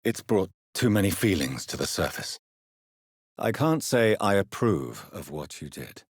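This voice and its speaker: A man playing a grave, courteous, troubled gentleman doctor turned vampire in a video game, speaking formally and earnestly with a husky voice. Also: low voice, big pitch swings, measured pace, no echo, clean studio sound, exaggerated voice. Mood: composed, earnest. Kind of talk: dramatic video game dialogue.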